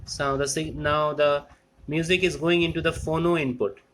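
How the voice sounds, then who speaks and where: A man giving an unscripted technical walkthrough as a hobbyist, explaining step by step in a halting way and repeating phrases. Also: fairly high pitch, steady tone, fairly bright tone. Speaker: electronics hobbyist demonstrating a restored amplifier. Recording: clear background noise with clicks.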